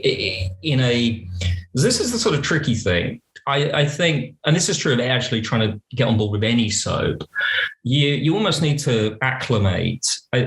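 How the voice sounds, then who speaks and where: A man's voice: medium pitch, echoey room, low-quality recording. A British man speaking conversationally as an interview guest on a podcast.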